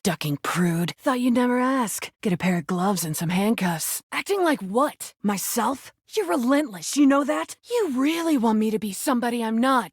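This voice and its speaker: A woman's voice: medium pitch, a little mellow, husky, no echo, studio quality. A woman voicing a crude, flirty, brash rubber duck come to life in a video game, with a sarcastic, taunting manner. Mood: mocking, dismissive, then teasing, defiant. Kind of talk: video game character voice lines.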